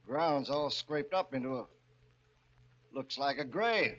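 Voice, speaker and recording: Lively, clipped American trooper. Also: male voice, medium pitch, takes it slowly. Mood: suspicious. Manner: clipped, casual, matter-of-fact. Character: brisk, folksy, inquisitive state trooper. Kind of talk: 1940s radio acting. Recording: muffled old radio, faint noise.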